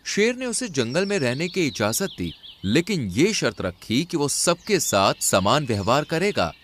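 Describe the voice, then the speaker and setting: A man's voice: a little high, big pitch swings, measured pace, fairly bright tone, a little hiss or hum. A man narrating an animal fable in a dramatic storytelling style.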